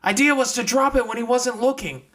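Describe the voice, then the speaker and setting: Guy as a blunt young woman, raised pitch, brisk and practical, audiobook character. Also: fairly bright tone. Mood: rushed, explaining. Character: slim, blunt young woman in a fox mask.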